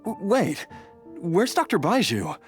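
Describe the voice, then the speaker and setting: A man's voice: high voice, wildly swooping pitch, takes it slowly, heavily acted. An actor playing a mild, grateful, slightly hesitant father in a video game. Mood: relieved, puzzled. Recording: faint music behind the voice.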